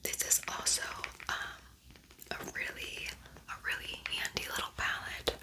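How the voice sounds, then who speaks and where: A woman hosting an ASMR makeup tutorial, whispering softly in a casual, chatty, unhurried way. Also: rough recording.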